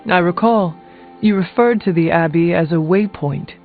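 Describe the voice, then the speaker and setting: Casting: stoic game hero, creaky voice, terse formal delivery, tinny narrow-band sound with music underneath. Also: male voice, high-pitched, moderate pace. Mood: grimly resolved. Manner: terse, formal, solemn, no contractions. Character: stoic, fatalistic warrior hero, demon's child. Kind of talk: scripted video game character dialogue.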